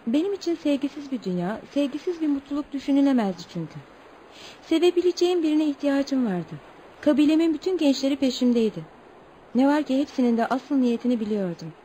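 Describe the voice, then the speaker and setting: A woman's voice: high-pitched, animated, brisk, mellow, a little hiss or hum, low-quality recording. An actress playing an earnest, naive and proud young woman telling her story politely in a radio play. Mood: wistful, earnest. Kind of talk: radio theatre acting.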